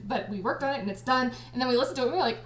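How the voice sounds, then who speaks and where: A giggly, excitable woman chatting casually on a livestream, often breaking into laughter. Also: high voice, rapid-fire, roomy sound. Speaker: audio drama creator and cast party host. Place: indoors on a group livestream call.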